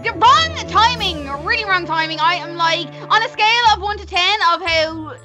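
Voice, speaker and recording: A woman's voice: very high-pitched, lively intonation, moderate pace, loud music behind the voice. A woman voicing a hot-headed, emotional young woman twin in a roleplay, rambling in a breathless, gushing way. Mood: upset.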